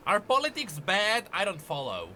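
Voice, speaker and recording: A man with a fairly high, bright voice gives casual lore commentary on a video game. His pitch swings expressively as he explains. There is faint noise behind him. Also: wildly swooping pitch, moderate pace. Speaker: lore commentator and host of reaction show.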